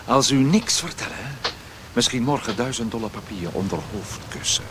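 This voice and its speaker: An actor playing a sly stoker and opium smuggler in a radio play, speaking broken language. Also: male voice, mid-range voice, lively intonation, normal speed, lightly acted. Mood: sly bargaining. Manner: broken foreigner's speech. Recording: noisy old radio play recording.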